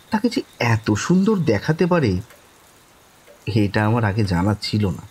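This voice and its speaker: A man narrating a horror story in the first person, dramatically and suspensefully, with pauses between short phrases. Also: lowish voice, a little mellow, husky, low-quality recording.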